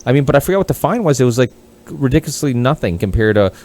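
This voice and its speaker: A man's voice: medium pitch, animated, rapid-fire, darkish tone. A Portugal-born man hosting a casual podcast, talking in a rambling, profane way with many restarts. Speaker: podcast host.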